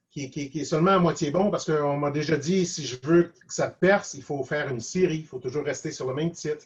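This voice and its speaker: Casting: male interview guest, Quebec French accent, slightly high voice, hesitant delivery, dull lo-fi call audio. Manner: hesitant, halting, repeats words. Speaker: guest cartoonist and animator. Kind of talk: casual online interview, reflective advice. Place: video call.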